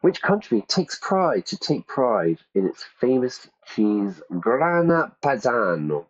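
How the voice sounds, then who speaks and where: A man's voice: medium pitch, big pitch swings, slow pace, muffled recording. A man teaching English to learners, reading out quiz questions and repeating the answer options. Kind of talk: ESL quiz lesson.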